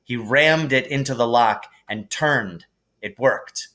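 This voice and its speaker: A man reading a storybook aloud as an expressive narrator, in a measured, deliberate storytelling manner. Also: medium pitch. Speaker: teacher reading a novel aloud.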